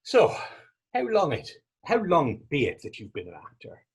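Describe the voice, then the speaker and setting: A man's voice: fairly high pitch, huge pitch swings, normal speed, breathy edge, muffled recording, heavily acted. A man playing a curious, sympathetic Irish companion with a poetic streak in a readers theatre drama, speaking in florid old-fashioned phrases with an Irish accent. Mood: curious, teasing. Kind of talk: stage readers theatre acting in dialect.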